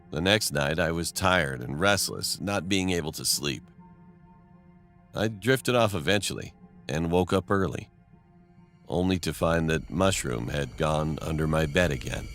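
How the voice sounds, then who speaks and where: A man's voice: low-pitched, fairly bright tone. A man narrating a scripted first-person horror story as a storyteller.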